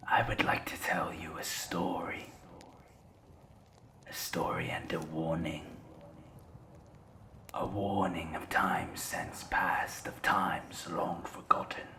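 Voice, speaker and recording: A man playing a solemn, mysterious campfire storyteller in a fantasy audio drama, breathy and theatrical, with archaic phrasing. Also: sits low, flat delivery, slow pace, pauses often, sharp tone, full character voice. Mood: ominous. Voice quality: breathy, whispery. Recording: roomy, echoing voice.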